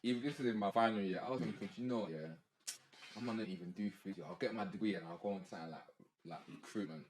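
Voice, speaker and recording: A man's voice: fairly low pitch, fast talker, slight background noise. A young man, co-hosting a casual podcast chat in slang, stumbling and restarting as he goes. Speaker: podcast co-host. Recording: roomy echo.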